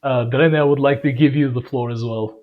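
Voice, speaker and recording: A man's voice: mid-range voice, fairly even intonation, brisk, phone-quality sound. Rambling young podcast host, a man. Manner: friendly, rambling, self-correcting. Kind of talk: casual podcast hosting.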